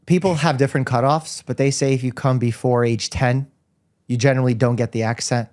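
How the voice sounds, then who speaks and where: A man's voice: mid-range voice, fairly even intonation, brisk, darkish tone. A man born in Russia, whose first language is Russian, hosting an interview podcast as a family doctor, upbeat and explaining medical points plainly. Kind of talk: casual interview chat.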